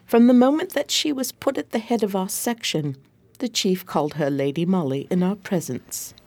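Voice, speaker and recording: A woman's voice: mid-range voice, expressive, moderate pace, a little mellow. A woman playing a loyal, deferential police assistant who tells the story in a radio drama, composed and polite. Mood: composed, confiding. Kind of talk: radio drama narration and dialogue. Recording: faint background noise.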